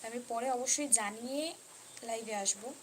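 Higher-voiced woman, noisy live stream. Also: moderate pace. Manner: repetitive, mixes in English words. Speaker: live stream host reading and answering viewer comments. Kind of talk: casual unscripted live stream chat.

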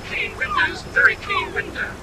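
A man's voice: very high voice, huge pitch swings, normal speed, heavy background noise, heavily acted. A man voicing a small, dutiful, grumbling robot minion in a video game, with chirpy, clipped lines. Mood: grumbling, busy.

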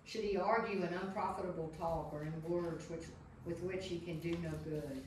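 A woman with a slightly low voice reads scripture aloud as a member of a study group. She is heard from a distance in a very reverberant room, with faint noise around her. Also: fairly even intonation, normal speed, darkish tone. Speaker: Bible study participant reading scripture aloud.

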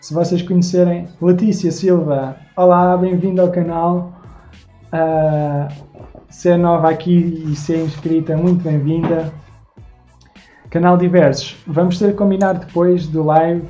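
A young man in his twenties with a Lisbon Portuguese accent chats on a home live stream and thanks each viewer by name. His voice is mellow and a little dark. The audio is dull and lo-fi, with faint music behind him. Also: a little high, little pitch movement, measured pace. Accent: European Portuguese, Lisbon. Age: 20s. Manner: chatty, thanking viewers by name while showing drawings. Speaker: live-stream host and artist. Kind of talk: casual live-stream chat, reading viewer comments. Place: small home room indoors.

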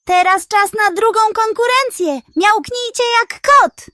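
A sly male pug from a children's storybook, acted out by a woman, full of himself and excitable. The voice is pitched high and declaims boastfully, recorded close and dry. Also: slow, no room sound, full character voice. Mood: excited, bossy. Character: sly, self-satisfied male pug with scheming plans. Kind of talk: children's audiobook character voice.

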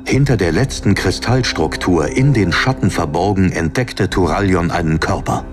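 A man's voice: deep voice, normal speed. A man reading a fantasy story as a scripted, dramatic audiobook narration. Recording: narration over background music.

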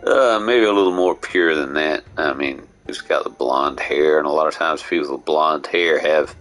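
A man with a gravelly voice streaming a game, musing aloud in a halting way and reading the text. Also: extremely low pitch, fairly bright tone. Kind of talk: casual live game commentary and voicing characters.

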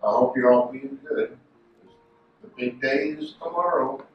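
A man's voice: mid-range voice, a little slow, faint music behind. An older man playing Santa, reading a storybook aloud. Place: indoor fireside Christmas set, roomy sound. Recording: echoey, distant, muffled with background hiss.

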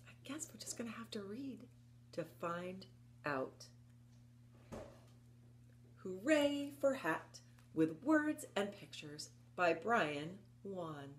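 A woman in her fifties presenting story time for young children, expressive and encouraging, often putting questions to her listeners. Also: medium pitch, a little hiss or hum. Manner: expressive, encouraging, asks listeners questions, slow deliberate delivery. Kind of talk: children's story time with rhymes and picture book reading. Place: indoors, small book-lined room at home.

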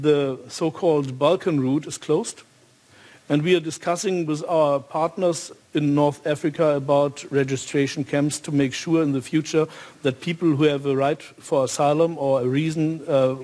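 A man with a German accent speaking off the cuff on a panel, in halting phrases with frequent pauses. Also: on the high side, a little mellow. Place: large hall stage at a symposium.

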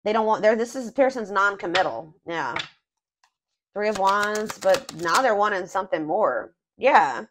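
Woman, tarot reader, casual rambling, fillers, slightly hoarse, faint background hiss. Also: mid-range voice, animated, a little slow, fairly bright tone. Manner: thinking aloud, many fillers and restarts. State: voice going hoarse. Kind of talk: casual tarot reading narration.

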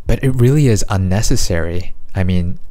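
A man's voice: sits low, moderate pace, mellow. A man in his thirties with a North American accent, talking casually and rambling a little as he shares his views in a vlog from a small bedroom at a desk mic. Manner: rambling, self-correcting, conversational. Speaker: vlogger. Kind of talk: casual talking-head commentary. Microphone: desk microphone in front.

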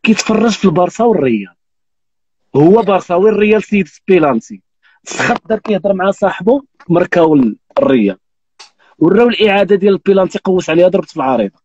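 Fast, animated Moroccan man joking. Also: high-pitched, breathy edge, no room sound, dull audio. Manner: teasing, rapid storytelling, frequent oaths. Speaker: live stream host. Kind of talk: joking casual chat. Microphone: phone on live video call.

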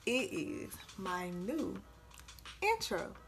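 A woman's voice: fairly low pitch, normal speed, a bit bright, faint music behind. A young American woman vlogging in casual, slangy chat with a sassy manner, recorded in a small bedroom on a camera a little way off. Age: young adult.

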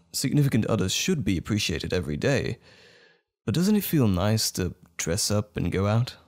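A man playing a tender, smitten date in an intimate romantic ASMR roleplay, speaking gently and hesitantly with lingering pauses, close to the microphone. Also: lowish voice. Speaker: audio roleplay performer.

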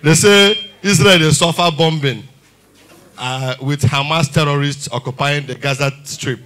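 A Nigerian man in his thirties doing a stand-up comedy set on a theater stage with a handheld mic, animated and full of rhetorical questions. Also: on the high side, unhurried. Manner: animated, mixes Pidgin and English, rhetorical questions. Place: large theater stage with audience.

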